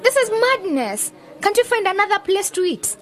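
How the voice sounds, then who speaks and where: An actress playing a bossy, impatient young singer in a radio drama, speaking in a sharp-tongued, commanding way. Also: female voice, very high-pitched, sing-song, normal speed. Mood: exasperated.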